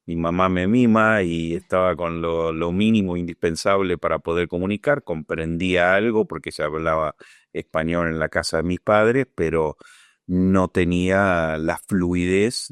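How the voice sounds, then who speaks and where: Older Argentine man, very low voice, dry close sound, reflective pace for interview testimony. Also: fairly even intonation, clear, resonant tone, no room sound. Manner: measured, reflective, searching for words. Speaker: Falklands War veteran interviewee.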